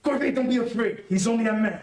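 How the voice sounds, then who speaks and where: An actor playing a treacherous, cowardly ex-convict in an excitable, theatrical old-time radio melodrama style. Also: male voice, extremely high pitch, fast. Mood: panicked scheming. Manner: theatrical, excitable pleading and threatening. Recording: echoey room, distant mic.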